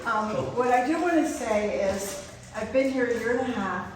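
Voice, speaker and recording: Loud woman hosting in an echoey gallery. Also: fairly high pitch, expressive, moderate pace. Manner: loud, chatty and direct. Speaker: gallery host. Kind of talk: introducing a speaker. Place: art gallery, indoors. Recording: very echoey room, voice far from mic, faint noise.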